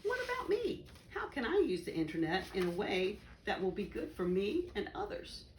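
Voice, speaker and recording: A woman reading a children's educational book aloud in a deliberate, instructive way. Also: mid-range voice, huge pitch swings. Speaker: volunteer story reader. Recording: roomy echo, faint background noise.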